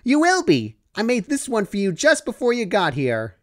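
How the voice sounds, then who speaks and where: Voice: mid-range voice, huge pitch swings, normal speed, pitched above the performer's own voice. A narrator voicing an overexcited, gleeful young female pony who gushes in an eager, chirpy way. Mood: cheerful.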